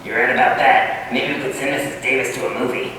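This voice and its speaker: A man's voice: mid-range voice, flat delivery, fast, pushed and bright, lightly acted. Man acting folksy smitten old custodian, distant echoey hall. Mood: folksy, eager. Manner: folksy, rustic turns of phrase. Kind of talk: 1940s-style radio comedy acting. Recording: echoey hall from far off, faint noise.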